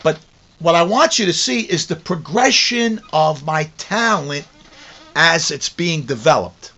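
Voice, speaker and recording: A man's voice: a little high, sharp tone, slight background noise. A mature man giving an autobiographical teaching talk in a conversational storytelling way, repeating phrases for emphasis. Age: middle-aged or older. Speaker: course teacher. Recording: dull lo-fi recording.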